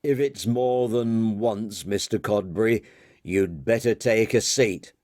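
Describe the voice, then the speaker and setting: A man's voice: slow, a little mellow, no room sound, clean studio sound. A man voicing a stern old company boss in an audiobook, with terse, grumbling, grunted speech. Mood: stern, dry. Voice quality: low, grumbling. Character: gruff, curt old male company boss.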